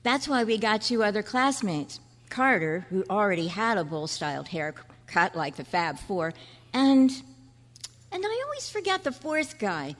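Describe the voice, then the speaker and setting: A woman's voice: medium pitch, sing-song, measured pace. An earnest young boy acted by a woman reminisces in chatty kid slang, in the manner of an old radio play. The voice rings through a large hall with long reverb. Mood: nostalgic, eager. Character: earnest, nostalgic fourth-grade boy. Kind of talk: old-time radio style stage acting. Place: large indoor room at a convention. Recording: echoey hall at a club meeting.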